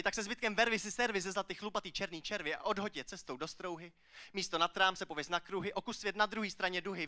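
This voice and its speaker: High, bright-voiced man performing slam poetry. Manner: declamatory, emphatic, with rises and hushed line endings. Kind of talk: slam poetry recital, rhythmic and rhymed. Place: on stage at a slam poetry final.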